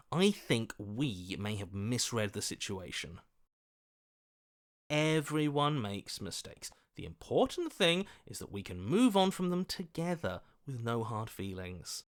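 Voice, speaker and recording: A man voicing a scheming, self-serving opportunist in a video game, with a sly, ingratiating, theatrical manner. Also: medium pitch. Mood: placating, evasive. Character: scheming, self-serving, weaselly opportunist voice inside the hero's head.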